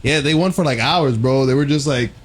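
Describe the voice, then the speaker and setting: A man's voice: mid-range voice, fairly quick. Profane young man telling stories. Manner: profane, storytelling banter. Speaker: podcast co-host. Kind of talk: casual chat.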